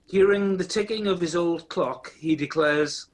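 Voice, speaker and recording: A man's voice: high voice, a little slow. A man playing the narrator of an amateur radio play, telling the story directly to the listener. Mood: storytelling.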